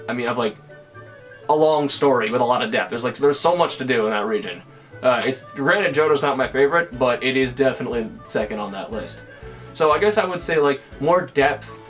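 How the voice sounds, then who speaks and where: Young man, late teens, casual vlog talk, rambling, restarts sentences, faint music behind. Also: medium pitch. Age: young adult. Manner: rambling, full of restarts and fillers. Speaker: YouTuber.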